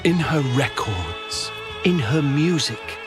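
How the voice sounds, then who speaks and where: A man's voice: fairly high pitch, very animated, takes it slowly. A man playing an earnest, reverent fan who helps tell the story in a radio play. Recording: music behind the voice.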